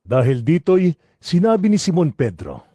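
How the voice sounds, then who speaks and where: Guy narrating an audio bible drama, higher voice, soft and mellow, music behind. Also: very animated, moderate pace, slightly rough, no room sound.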